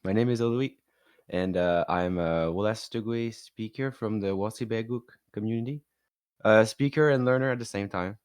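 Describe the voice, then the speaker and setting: A man's voice: fairly low pitch, moderate pace, darkish tone, clear, resonant tone, dry recording, clean studio sound. A man with a Quebec French accent, a native French speaker, talking casually as a podcast guest. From Quebec, Canada. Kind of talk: casual conversational talk.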